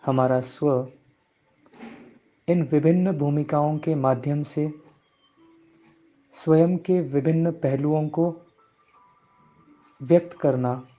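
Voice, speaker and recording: Male meditation guide, very slow measured delivery with long pauses, relaxed mellow tone, music bed. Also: a little high. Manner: repeats some phrases in English. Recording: dull lo-fi sound, music bed. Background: background music.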